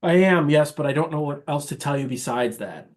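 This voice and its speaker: A man's voice: fairly high pitch, expressive, a little fast. An American man in his thirties, a priest, talking casually as an interview guest. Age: 30s.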